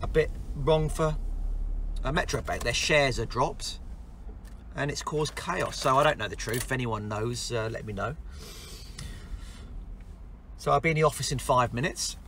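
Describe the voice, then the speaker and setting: A man's voice: mid-range voice, moderate pace, pauses often, fairly bright tone. Upbeat London man vlogging from his car. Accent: London English. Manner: upbeat motivational, rambling with fillers. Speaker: business owner vlogger. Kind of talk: casual car vlog monologue. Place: inside a car while driving. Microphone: camera on the dashboard. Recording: car road noise behind.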